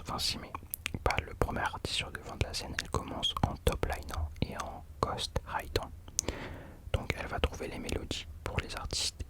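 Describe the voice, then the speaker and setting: Whispering man, casual ASMR host. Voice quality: breathy, unvoiced whisper. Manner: hesitant, self-correcting, self-deprecating.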